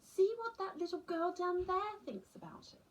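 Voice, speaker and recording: A woman reading a storybook as a caring mother barn owl, motherly, gently firm and encouraging. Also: very high voice, sing-song, normal speed, far from the mic, a little hiss or hum, pitched above the performer's own voice. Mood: coaxing. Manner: coaxing, motherly.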